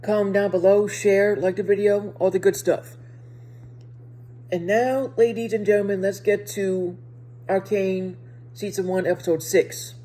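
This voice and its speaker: Halting, mellow-voiced woman reviewer. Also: mid-range voice, fairly even intonation. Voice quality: speech impediment. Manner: halting, repeats and rephrases phrases. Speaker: video reviewer. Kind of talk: casual episode review to viewers.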